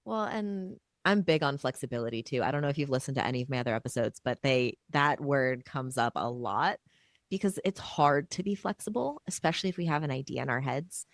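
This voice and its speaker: A woman hosting a podcast, chatting in a reflective, relaxed way while she is losing her voice. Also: extremely low pitch, a little fast, no echo, studio quality. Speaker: podcast host. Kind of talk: casual podcast conversation.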